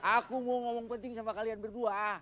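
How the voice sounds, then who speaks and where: A blunt boss. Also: male voice, very high voice, flat delivery, fairly quick, pushed and bright, a little hiss or hum. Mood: bossy. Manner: blunt, gruff and commanding. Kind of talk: sitcom acting.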